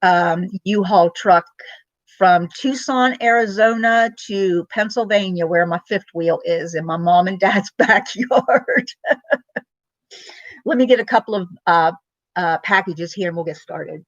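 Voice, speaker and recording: A woman's voice: medium pitch, measured pace, no echo, dull audio. A middle-aged woman chatting casually on a home live stream, rambling with many ums and greeting viewers by name. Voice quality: loud voice. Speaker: vlogger.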